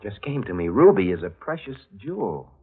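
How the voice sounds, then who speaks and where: An actor playing a hardboiled, scheming drifter in 1940s radio noir, terse and slangy, heard on a muffled old recording. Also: male voice, on the low side, very animated, a little fast. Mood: uneasy. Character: hardboiled, scheming male drifter narrating his crime.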